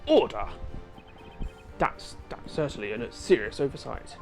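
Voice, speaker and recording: A woman's voice: extremely low pitch, sing-song, measured pace, over music, pitched below the performer's own voice, heavily acted. A woman voicing a formal, easily flustered older male judge in a fan-dubbed game. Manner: formal, fussy.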